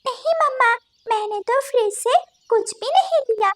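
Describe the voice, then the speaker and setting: Little girl cartoon bird, sweet, childlike, eager, very high voice. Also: normal speed, dry recording. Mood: earnest, suspicious.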